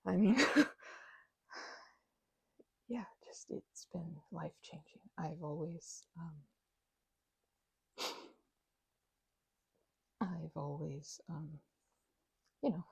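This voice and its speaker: A woman voicing a timid, grateful, apologetic vampire in an intimate audio roleplay, stammering nervously, rambling and often dropping toward a breathy whisper. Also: low-pitched, mellow, dry recording, exaggerated voice, low-quality recording. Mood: flustered, grateful. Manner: nervous stammering, halting and self-interrupting.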